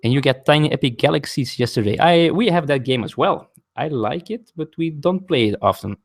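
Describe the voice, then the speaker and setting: A man's voice: fairly high pitch, expressive, brisk. A man streaming a board game live from home, explaining the rules step by step and chatting with viewers, often correcting himself, with a non-native English accent.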